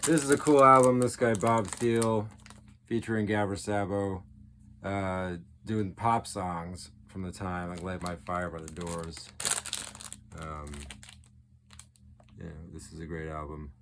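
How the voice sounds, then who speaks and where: A man's voice: low-pitched, steady tone, unhurried, a bit bright, a little hiss or hum. A man giving a casual, off-the-cuff show-and-tell as a collector, rambling along with geeky enthusiasm. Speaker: record collector, video host.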